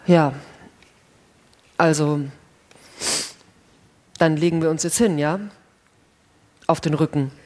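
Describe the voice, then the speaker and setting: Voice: mid-range voice, flat delivery, slow, pauses often, a little mellow, natural voice. An actor playing a resigned, rule-abiding astronaut in a science fiction radio play, terse and matter-of-fact. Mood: resigned, uneasy.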